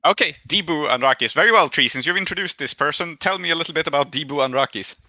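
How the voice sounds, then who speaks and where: A man's voice: on the high side, fast, sharp tone, no room sound, lo-fi sound. A man casually narrating and refereeing a tabletop game as its game master.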